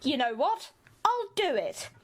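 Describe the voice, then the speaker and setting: A woman plays an earnest young student taking charge in an amateur radio play. Her voice is high and swings freely in pitch as she politely organises the group. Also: very animated, a little slow. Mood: earnest, determined.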